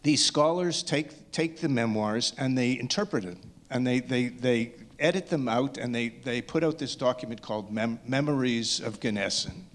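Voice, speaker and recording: A man answering questions as a filmmaker on a panel, speaking haltingly, repeating words as he thinks aloud. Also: mid-range voice. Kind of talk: post-screening question and answer talk.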